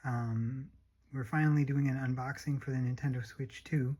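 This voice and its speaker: A hoarse-voiced vlogger talking casually and a bit hesitantly through a product unboxing. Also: mid-range voice, steady tone, normal speed, warm tone. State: hoarse, losing voice. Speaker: unboxing video host.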